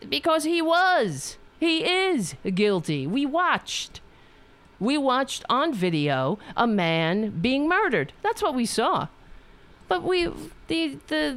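A woman with a New York accent from Staten Island, hosting a political talk show in a rambling, profane monologue full of restarts. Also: medium pitch. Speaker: podcast host.

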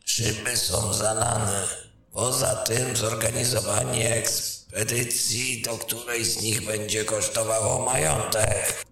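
A creaky male voice acted by a man of a sceptical royal councillor in a fantasy radio play. He argues slowly and querulously, with a heavy echo around him. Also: fairly low pitch, fairly even intonation, fairly bright tone, heavily acted. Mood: dismissive. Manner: sceptical, drawn-out, argumentative. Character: skeptical, pragmatic, querulous royal council member. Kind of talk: fantasy audio drama acting. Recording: very echoey room.

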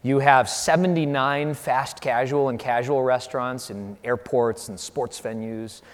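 A man interviewing on stage, with articulate, formal, measured phrasing. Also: medium pitch, steady tone. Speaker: interviewer, event moderator. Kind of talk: prepared onstage interview introduction. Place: large hall, on stage before an audience. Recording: echoey hall, long reverb.

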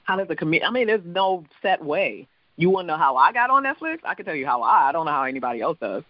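A comedian from the Virgin Islands with a mixed island, Midwestern and New York accent, chatting loosely in an interview, rambling, laughing and swearing casually. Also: male voice, a little high, big pitch swings, fast talker, no echo, muffled recording. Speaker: comedian and show producer.

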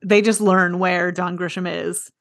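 A woman's voice: lowish voice, little pitch movement, unhurried, gravelly, no room sound, studio quality. A woman hosting a podcast, interviewing her guest in a conversational way.

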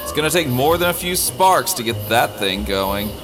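A man's voice: medium pitch, lively intonation, moderate pace, over music, close to an everyday voice. A man playing a cocky, flirtatious space smuggler in an audio drama, smug and teasing.

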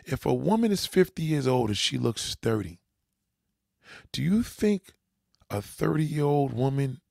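A man's voice: mid-range voice, creaky, darkish tone, dry recording, studio quality. A man giving blunt dating advice in a reaction commentary, lecturing and often checking whether the listener understands. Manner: lecturing, repeats "you understand?"; blunt, swears.

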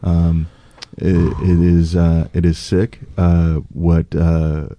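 A man's voice: low voice, monotone, slow, dark tone, dry recording, highs cut off. A middle-aged man from the United States, a political candidate speaking earnestly in a conversational interview, with many fillers and restarts.

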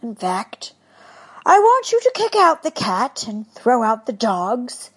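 A woman's voice: fairly high pitch, sing-song, slow, slightly rough, pitched above the performer's own voice, full character voice. A woman voicing a kindly, amused old male rabbi in a storybook tale, chuckling as he gives instructions.

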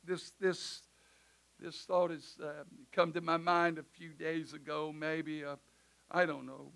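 A preacher with a loud, high-pitched voice that cuts bright and sharp through the sanctuary. He swings from fervent shouting down to soft, offhand remarks. His is easy to hear in his casual phrasing. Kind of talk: sermon in church service. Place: church sanctuary.

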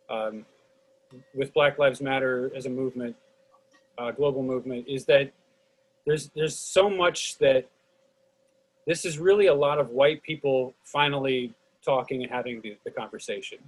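A man interviewing in a casual, thoughtful discussion, speaking in a halting, roundabout way. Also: fairly high pitch, slightly rough, poor audio. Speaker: interviewer at a theatre talkback.

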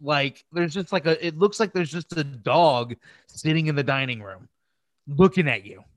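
A man's voice: fairly high pitch, normal speed, no room sound. A man chatting casually as a podcast co-host, bantering and swearing freely. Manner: profane, bantering. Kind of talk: casual chat.

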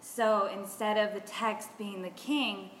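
An American woman explaining things as an interview guest, measured and with pauses. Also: medium pitch, fairly even intonation, a bit bright. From Seattle, United States. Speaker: theater artist, interview guest. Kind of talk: casual explanation. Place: artist's studio. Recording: echoey reverberant room, faint noise.

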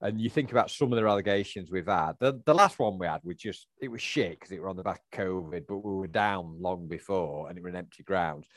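A man's voice: sits low, fast, few pauses, dry recording. A middle-aged man, co-hosting a casual chat and rambling through answers with lots of fillers and restarts. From England. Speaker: podcast co-host.

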